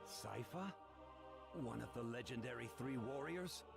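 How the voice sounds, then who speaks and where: An actor playing a chivalrous, stoic vampire hunter in a video game, courtly and restrained. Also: male voice, medium pitch, animated, unhurried, vocal fry, over music. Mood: stoic, courteous.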